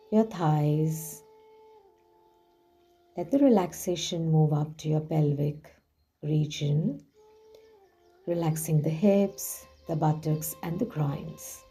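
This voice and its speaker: A woman leading a guided relaxation visualization in a soothing, hushed manner with gently drawn-out phrasing. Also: low voice, husky. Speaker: guided meditation host. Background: background music.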